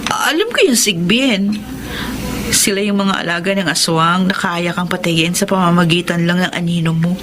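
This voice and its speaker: A woman's voice: on the low side, moderate pace, noisy background, close to an everyday voice. An actress playing a frank, concerned young female office coworker with a Filipino accent in a radio drama. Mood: knowing, earnest. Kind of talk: radio drama dialogue, conversational.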